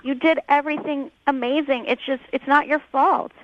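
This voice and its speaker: A woman's voice: sits high, fairly even intonation, normal speed. A woman calling in to a radio show, earnest and coaxing as she pleads her case over the phone. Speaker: radio call-in guest. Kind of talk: pleading personal conversation. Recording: dull band-limited phone call on radio.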